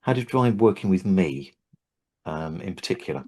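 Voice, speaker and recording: An older man, a trainer hosting a relaxed interview, talking thoughtfully with frequent restarts and hesitations. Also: low-pitched, moderate pace. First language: English. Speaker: Havening trainer and host. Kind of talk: casual interview chat.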